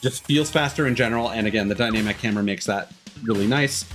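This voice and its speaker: A man's voice: on the low side, fast. A man doing casual, rambling and opinionated gameplay commentary as a live streamer. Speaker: video game live streamer. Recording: loud music behind the voice.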